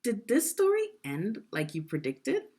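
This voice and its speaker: Very animated, slow-paced woman reading along. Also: mid-range voice, slightly rough. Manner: expressive, deliberate, instructional. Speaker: teacher reading aloud to children. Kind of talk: read-along storytelling with guiding questions.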